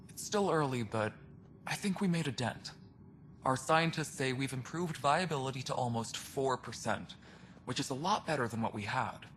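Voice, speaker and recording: A man playing a gruff, world-weary alien station superintendent in a video game, speaking bluntly with dry sarcasm. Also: mid-range voice, fairly bright tone, a little hiss or hum, lightly acted. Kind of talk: video game cutscene dialogue.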